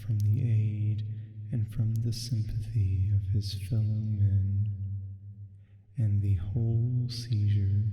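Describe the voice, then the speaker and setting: A man voicing a slow, sinister sleep paralysis demon, reading a gothic storybook tale in a soft, hushed ASMR style with heavy reverb. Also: on the low side, highs cut off. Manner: hushed, measured, theatrical reading. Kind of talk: ASMR roleplay, storybook narration. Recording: long cavernous reverb, echoing. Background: faint music.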